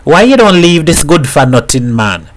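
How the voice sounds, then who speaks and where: A man's voice: fairly high pitch, big pitch swings, moderate pace, a little hiss or hum, voice pushed up. A man voicing a blunt, scolding island mother. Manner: scolding, forthright, dialect speech. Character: blunt sharp-tongued island mother, middle-aged woman.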